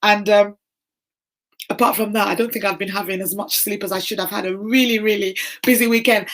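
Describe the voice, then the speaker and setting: A woman in her fifties hosting a casual encouraging livestream chat, her voice hoarse and croaky. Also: medium pitch, steady tone, fairly quick. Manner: warm encouraging, greets viewers by name. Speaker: livestream host.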